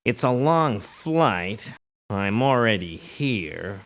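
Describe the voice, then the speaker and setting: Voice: mid-range voice, sing-song, drawn-out words, exaggerated voice. An actor voicing a principled, picky mercenary doctor in a video game, speaking formally and precisely with dry disdain. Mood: indignant. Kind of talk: video game character voice lines.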